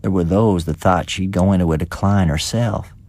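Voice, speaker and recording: A man's voice: low voice, normal speed, darkish tone, no echo. A man voicing an elderly, indignant village gossip with a folksy, rustic manner of speech in a dramatised story reading. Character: elderly unmarried village woman gossiping indignantly about neighbours.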